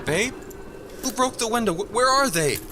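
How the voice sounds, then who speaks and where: A man's voice: very high-pitched, animated, normal speed. A man playing a frightened, bewildered husband in a horror radio drama, distraught and calling out. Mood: panicked. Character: frightened, bewildered husband searching through a storm. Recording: heavy storm-like background noise.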